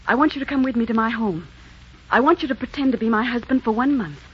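A woman's voice: a little high, animated, quick pace, slight background noise, natural voice. An actress playing a troubled, secretive young woman of the northern wilds in earnest, hesitant 1940s radio drama style. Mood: earnest, pleading. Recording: muffled 1940s radio recording.

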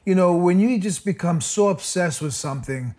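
A man's voice: sits high, expressive, normal speed, darkish tone. Earnest rambling man guest. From Canada. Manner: earnest, rambling with restarts and "you know". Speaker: guest musician. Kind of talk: casual interview chat. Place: remote, calling in from abroad.

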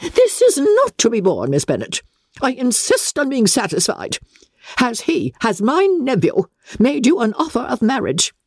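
A woman's voice: on the high side, huge pitch swings, unhurried, slightly rough, no room sound, clean studio sound, pitched above the performer's own voice, full character voice. A haughty, imperious, swooping old aristocratic lady. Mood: outraged, commanding. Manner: pompous, emphatic, commanding delivery.

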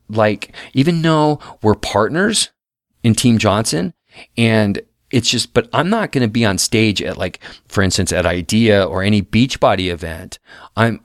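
A man's voice: lowish voice, dry recording. A middle-aged man talking casually and earnestly into a close mic, often restarting and repeating words as he shares advice. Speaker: podcast guest host.

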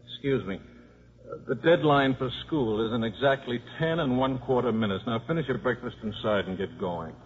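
A man's voice: medium pitch, normal speed, natural voice. An actor playing a cynical, sardonic American ex-football coach and father in a 1950s radio drama. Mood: wry, guarded. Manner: wry, sardonic. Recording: muffled, noisy 1950s radio recording.

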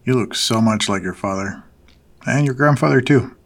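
Audio drama role: older male farm patriarch, folksy storyteller, courteous, gently probing, medium pitch. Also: normal speed, gravelly. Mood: fond. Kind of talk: naturalistic audio drama dialogue.

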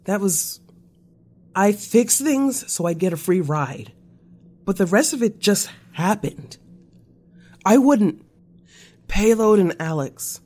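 Slow-talking, remorseful confessed spy. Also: female voice, mid-range voice, expressive, a little mellow. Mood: guilty, insistent. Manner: code-switches between English and Spanish. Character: remorseful confessing former spy, slangy and earnest. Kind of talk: naturalistic audio drama acting.

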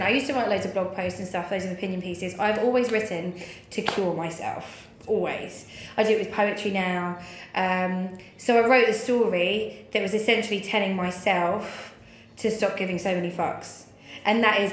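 A woman's voice: mid-range voice, a bit bright. A woman in her thirties talking candidly and informally as an interview guest, telling personal stories with plenty of swearing. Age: 30s. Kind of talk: candid conversational storytelling. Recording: echoey room, faint background noise.

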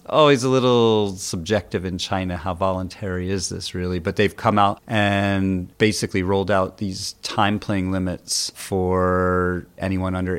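A man's voice: low-pitched, clean tone. A man commenting on the news as a guest editor, explaining things in an informal, conversational, loosely phrased way.

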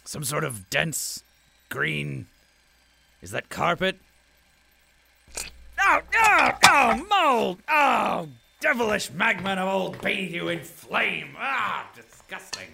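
Pompous, gravelly-voiced radio propagandist. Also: male voice, sits high, sing-song, slow pace, bright, forceful tone, slight background noise, full character voice. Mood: pompous, puzzled, then horrified, disgusted. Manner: grandiloquent, theatrical, wordy. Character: pompous, fussy, bitter old city propagandist narrator. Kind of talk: audio drama narration, mock broadcast.

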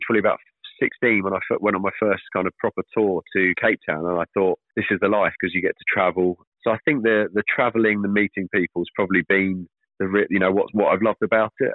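A man in his thirties, a professional cricketer, talking casually in an interview, fairly monotone and hesitant with many fillers and restarts. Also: low voice, dry recording. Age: 30s. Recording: tinny dry phone line.

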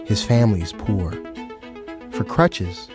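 A man's voice: lowish voice, sing-song, slow, music in the background. A man narrating a scripted story dramatically as a storyteller.

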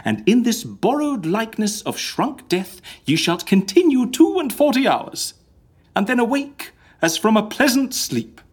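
A man's voice: sits high, very animated, a little slow, slightly rough, full character voice. A man playing a grandiose schemer in a Shakespearean audio drama, declaiming in florid phrases. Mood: solemn, grand. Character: grandiose Shakespearean schemer arranging faked deaths, male.

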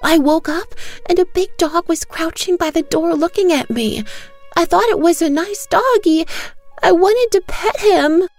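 A woman voicing a terrified little girl who cries and pleads in a high, trembling voice. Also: a little slow, soft background music. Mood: frightened.

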